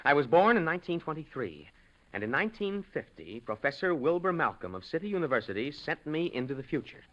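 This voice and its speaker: A man's voice: a little high, big pitch swings, brisk. A man acting in a 1950s radio drama, playing an earnest young time traveler who speaks in hesitant, exclaiming bursts. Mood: flustered, insistent. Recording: muffled old radio recording.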